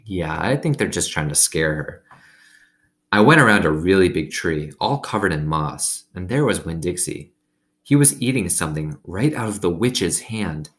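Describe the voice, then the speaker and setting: A man's voice: low-pitched, animated, moderate pace, a bit bright. A man reading a children's story aloud expressively, with casual asides to his listeners. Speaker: reader reading a children's novel aloud to students.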